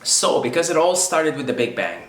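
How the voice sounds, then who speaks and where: A man's voice: a little high, very animated, moderate pace, fairly bright tone, reverberant. A man from Syria whose first language is Arabic, thinking aloud in a reflective spiritual monologue, repeating his phrases as he goes. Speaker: video creator speaking on spirituality.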